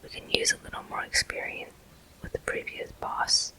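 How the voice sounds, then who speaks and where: A person whispering ASMR commentary over a video game walkthrough in a hushed, unhurried, explanatory way, pausing between short phrases. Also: low-quality recording. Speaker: gameplay host.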